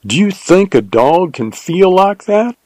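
A man voicing a plainspoken, excitable Texan cowboy with a leisurely drawl in an audiobook. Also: mid-range voice, slow, no room sound. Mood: doubtful. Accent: Texas. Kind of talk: audiobook character dialogue.

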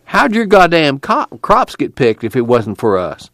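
A man's voice: medium pitch, very animated, moderate pace, no echo, studio quality, pitched above the performer's own voice, natural voice. A man voicing a tubby, outspoken migrant worker in a storybook, blustery and salty with folksy rural speech. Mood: heated recounting.

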